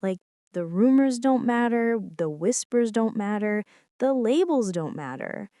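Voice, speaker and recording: Young woman doing a devoted college girlfriend for asmr roleplay, mellow and slow, talks right to you. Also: a little high, animated, no room sound, clean studio sound. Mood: wistful, tender. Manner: soft, intimate, reassuring, direct address to listener. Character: devoted, earnest college girlfriend, tender and bold. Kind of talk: girlfriend ASMR roleplay, intimate scripted monologue. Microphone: close, dry microphone.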